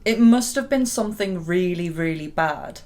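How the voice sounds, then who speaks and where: A young woman from the UK with a deep voice, candidly telling her own story to camera as a YouTuber. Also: lively intonation, normal speed, a little mellow. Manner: candid and reflective, with small laughs.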